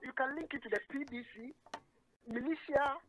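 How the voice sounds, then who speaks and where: A man's voice: very high-pitched, moderate pace, a little hiss or hum, rough recording. A Ghanaian man calling in to a talk radio show, arguing his point with stumbling repetitions. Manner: animated, argumentative, stumbling repetitions. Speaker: caller.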